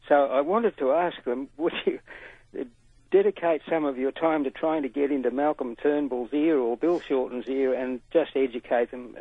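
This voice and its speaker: Gravelly older man on a phone line. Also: mid-range voice, no echo. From Australia. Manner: earnest, emphatic, talkative. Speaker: caller. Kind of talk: phone-in call to a radio show.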